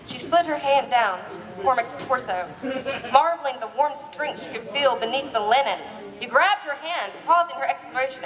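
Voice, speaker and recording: A woman's voice: high voice, a bit bright. A woman narrating a romance parody as a live dramatic reading, with a theatrical, playful manner. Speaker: narrator and presenter. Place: live stage at a bar party. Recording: echoey live hall, distant mic.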